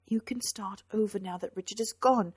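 A weary male knight torn by love, performed by a woman reader, husky and grave. He holds himself back, then breaks into forceful, tormented declarations, in dry, dramatic storybook style. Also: medium pitch, moderate pace, dry recording, close to an everyday voice. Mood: impassioned. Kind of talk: dramatic audiobook character acting.

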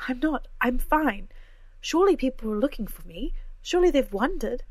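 A woman's voice: a little high, normal speed, a little mellow, no room sound, voice pushed up, close to an everyday voice. A woman reading aloud, voicing an anxious, impulsive young woman who pleads and insists. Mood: insistent. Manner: pleading, insistent, rising to cries.

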